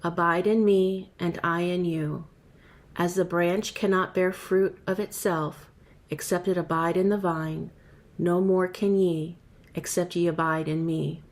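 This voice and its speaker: A woman with a fairly low voice reads scripture aloud at a measured, steady pace. Her delivery is even and careful, giving each archaic phrase its own space. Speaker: scripture reader. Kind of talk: scripture reading.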